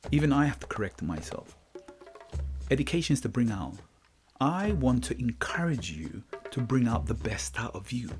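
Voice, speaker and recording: A man's voice: a little high, a little mellow. A middle-aged man from Guyana hosting a motivational podcast talk, reflective, repeating words and checking in with the listener. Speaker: podcast host.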